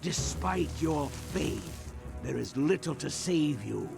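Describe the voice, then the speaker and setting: A menacing, condescending video game villain speaks in a heavily creaking, gravelly voice. His theatrical delivery swings widely in pitch as he pronounces doom, over loud music and effects. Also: animated, slow, exaggerated voice. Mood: menacing, mocking. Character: menacing villain taunting a hero about a doomed fate.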